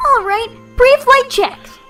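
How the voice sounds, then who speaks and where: Cartoon young pegasus pony: extremely high, eager, brash, slow drawn-out lines, loud music underneath. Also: female voice, full character voice.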